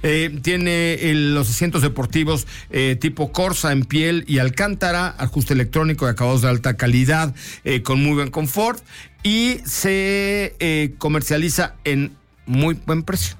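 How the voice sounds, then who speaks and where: A man's voice: a little high, a little slow, clear, resonant tone, soft background music. Joking Mexican man talking cars. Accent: Mexican Spanish. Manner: joking, enthusiastic. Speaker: car show host. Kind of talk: casual radio car talk.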